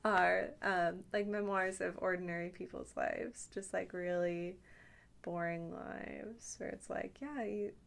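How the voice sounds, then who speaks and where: A woman's voice: fairly low pitch, steady tone, unhurried, vocal fry. A woman chatting casually and intimately to the listener as an ASMR host, with playful, teasing asides.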